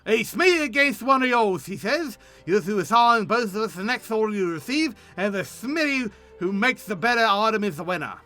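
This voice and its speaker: A scheming fantasy game guildmaster, played by a man. He blusters in an emphatic, folksy way, with a high voice that swings up and down a lot. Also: animated, normal speed, hardly any pauses, pushed and bright, no room sound, faint music behind. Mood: indignant.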